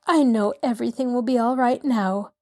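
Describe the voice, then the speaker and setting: A woman's voice: on the high side, moderate pace, a little mellow, pitched above the performer's own voice, close to an everyday voice. A woman voicing a genteel middle-aged lady in distress who pleads breathlessly and near tears. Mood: eager, fluttery.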